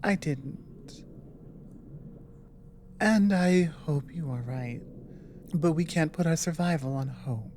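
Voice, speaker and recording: A woman plays a grave female dragon who has survived a long war. She speaks slowly in a mellow tone, earnest and halting, leaving dramatic pauses. It is an ASMR audio drama with clear background noise. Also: extremely low pitch, full character voice. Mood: grave, resolute.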